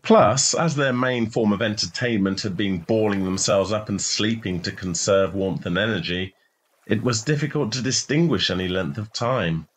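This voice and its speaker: A man's voice: fairly low pitch, moderate pace. A man reading a short story in a scripted narration style.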